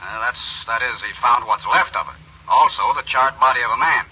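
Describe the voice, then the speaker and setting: An actor playing a matter-of-fact state police officer reporting over a telephone in a 1940s radio crime drama. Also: male voice, mid-range voice, a little fast, bright, forceful tone, breathy edge. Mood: matter-of-fact, grim. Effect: telephone filter. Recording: thin phone line, old radio recording.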